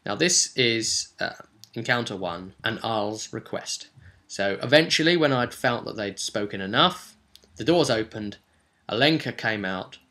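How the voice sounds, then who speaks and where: A man casually recapping a tabletop roleplaying session as game master, telling it in an animated storytelling way. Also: on the low side. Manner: animated storytelling, slipping into quick character quotes.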